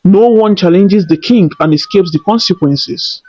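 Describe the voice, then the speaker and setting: A man's voice: high voice, moderate pace, darkish tone, close to an everyday voice. A man voicing a stern, proud king in a storybook tale, in a commanding, declamatory way. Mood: unmoved, stern. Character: stern, proud king, an unyielding older father. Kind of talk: storybook character acting. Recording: dull lo-fi audio over music.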